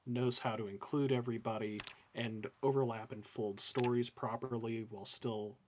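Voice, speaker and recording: A man talking in an even, flat monotone that can come across as sarcastic. His pitch sits in the middle range. He chats casually and at length about being a dungeon master. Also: moderate pace, slight background noise. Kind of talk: casual chat.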